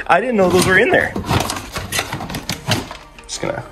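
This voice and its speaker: A man's voice: high-pitched, very animated, measured pace, vocal fry, loud music behind the voice. A young man narrating a DIY car vlog casually and informally, thinking aloud with mild exasperation. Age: young adult.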